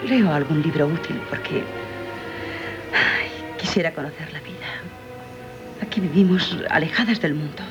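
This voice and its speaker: An actress playing a dutiful young daughter longing to escape, earnest and hesitant, in Castilian Spanish radio drama. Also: female voice, deep voice, normal speed, long pauses, dull audio, over music, natural voice. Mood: resigned, wistful.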